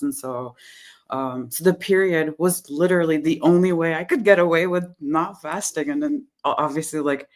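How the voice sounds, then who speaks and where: A woman co-hosting an online talk, speaking in a laid-back way over a video call. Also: low-pitched. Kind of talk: casual conversation.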